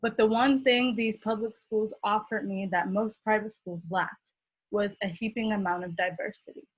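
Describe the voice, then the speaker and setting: A woman's voice: medium pitch, steady tone, moderate pace, breathy edge, low-quality recording. A teenage girl from California giving an earnest, impassioned prepared speech as a student speaker. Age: teens.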